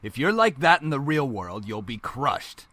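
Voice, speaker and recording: A man's voice: medium pitch, very animated, normal speed, sharp tone, no echo. A man playing a cold, domineering middle-aged father in a visual novel, stern and condescending in manner. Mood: cold, commanding. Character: cold, domineering, angry father and business executive.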